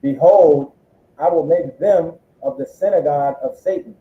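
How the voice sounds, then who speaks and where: A man's voice: fairly high pitch, lively intonation, normal speed. A man assisting a preacher as scripture reader, reading verses aloud and answering him. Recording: tinny phone-like line with roomy echo.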